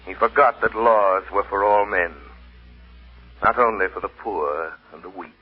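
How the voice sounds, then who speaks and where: An actor playing a stern, solemn crime fighter in old radio drama, speaking with measured authority. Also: male voice, on the low side, breathy edge, soft background music. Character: commanding, stern unseen male crime fighter. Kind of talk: 1940s radio drama acting. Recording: dull old radio recording.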